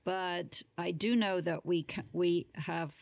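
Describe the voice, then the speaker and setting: A woman's voice: on the low side, steady tone, takes it slowly, vocal fry, a little mellow, no room sound. A woman speaking as an interview guest in a casual, reflective conversation.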